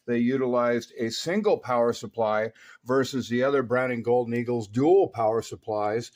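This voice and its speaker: A man's voice: medium pitch, darkish tone. A man narrating an instructional demonstration as a radio repair technician.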